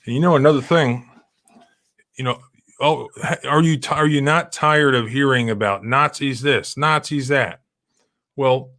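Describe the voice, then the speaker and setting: A man's voice: mid-range voice, a bit bright. Middle-aged male host, rambling and emphatic in casual commentary. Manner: rambling, many restarts and fillers, emphatic. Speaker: civil rights lawyer hosting a live stream. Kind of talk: casual live-stream commentary.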